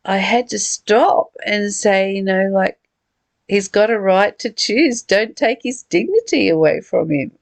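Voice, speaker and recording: A woman's voice: mid-range voice, gravelly, no room sound. A middle-aged woman talking reflectively and personally in a conversational study discussion. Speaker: study group leader.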